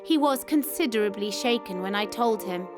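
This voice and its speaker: A woman's voice: medium pitch, expressive, moderate pace. A woman reading a scripted first-person wartime memoir as a narrator. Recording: music behind the voice. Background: music.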